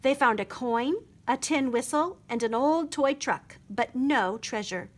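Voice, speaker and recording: A woman's voice: high voice, a little slow. A woman reading a picture book aloud expressively as a children's librarian at storytime.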